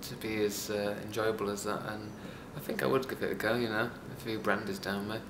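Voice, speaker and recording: A man's voice: fairly low pitch, level tone, brisk. A hesitant man giving a casual vox pop as an audience member. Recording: noisy, echoey venue.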